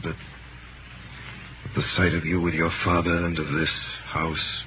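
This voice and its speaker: An actor playing a dissolute, despairing man who humbles himself tenderly, in a solemn, halting 1930s radio drama style. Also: low voice. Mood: resigned sorrow. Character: Sydney Carton, dissolute despairing man, tenderly self-abasing. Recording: noisy tinny 1930s radio broadcast.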